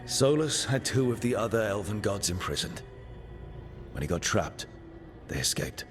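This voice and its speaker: An actor playing an earnest, steady mage who leads a team in a fantasy video game, speaking in a measured, serious way. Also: male voice, on the low side, over music. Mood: grave. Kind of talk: cinematic video game dialogue.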